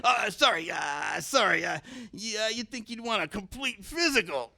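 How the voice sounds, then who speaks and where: A shameless, muddled old quack dentist for a comic radio play. The voice is high, bright and crackly with age. He hems, mutters and repeats himself absent-mindedly. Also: lively intonation, normal speed, gravelly, heavily acted. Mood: indignant, gleeful.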